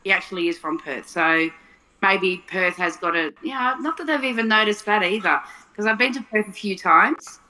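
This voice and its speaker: A woman's voice: mid-range voice, expressive, normal speed, bright, forceful tone, poor audio. A woman from Melbourne with an Australian accent, chatting casually and thinking aloud as she explains things. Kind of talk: casual chat.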